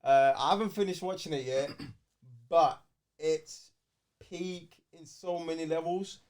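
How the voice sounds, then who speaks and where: A man's voice: on the high side, measured pace, a little mellow. A man with a London accent chatting casually as a podcast co-host, slangy and repeating phrases for emphasis. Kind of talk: casual banter.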